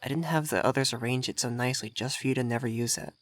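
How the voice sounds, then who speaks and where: A man's voice: mid-range voice, fairly even intonation, fast talker, no echo, lightly acted. A man playing a young effeminate vampire mage in roleplay ASMR, vain and refined, coy and easily flustered in an intimate delivery.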